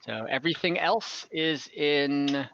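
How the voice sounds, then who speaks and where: A man acting as game master in a casual online tabletop game, explaining rules in an easygoing, halting way. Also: a little high, a bit bright, no echo, highs cut off.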